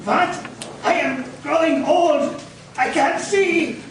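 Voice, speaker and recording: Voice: sits high, slow pace, slight background noise. An actor playing a servile, withered Nazi henchman, in old-time radio style. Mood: panicked wailing. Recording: echoey live coffee house, distant mic.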